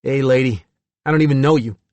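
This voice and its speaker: A man's voice: medium pitch, fairly quick, fairly bright tone, no room sound, voice pushed up, natural voice. Audiobook role: surly teen boy played by a man, arrogant, curt, slangy, dry sound. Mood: hostile. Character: sullen, arrogant sixteen-year-old American tough boy.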